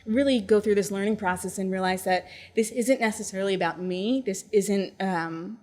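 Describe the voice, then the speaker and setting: A young adult woman, a neuroscientist and startup founder, talking calmly and reflectively as an interview guest. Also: mid-range voice, normal speed. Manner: calm, soothing, reflective. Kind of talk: casual interview conversation.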